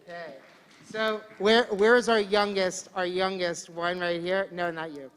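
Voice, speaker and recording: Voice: medium pitch, fairly even intonation, unhurried, a bit bright. An upbeat, encouraging service leader guiding a family prayer service in a synagogue sanctuary. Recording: long reverb hall with music behind.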